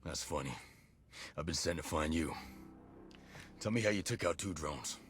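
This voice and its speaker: Low-voiced, gruff, skeptical soldier. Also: male voice, normal speed, fairly bright tone, faint music behind. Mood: suspicious. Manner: dry, sardonic, guarded. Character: gruff male special-ops soldier, wry and skeptical. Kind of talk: video game cutscene acting.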